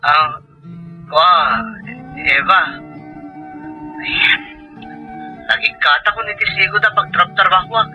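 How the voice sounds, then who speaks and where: Man acting a high-pitched, sheepish Ilocano husband. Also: pushed and bright, dull audio, faint music behind, heavily acted. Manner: halting, stammering. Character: evasive, sheepish gambling husband making excuses. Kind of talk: Ilocano comedy drama acting.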